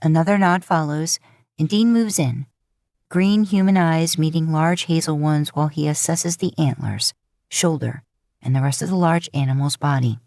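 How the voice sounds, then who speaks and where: Low-voiced woman narrating fiction. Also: moderate pace, darkish tone, dry recording, clean studio sound. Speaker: podfic narrator. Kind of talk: scripted fan fiction narration.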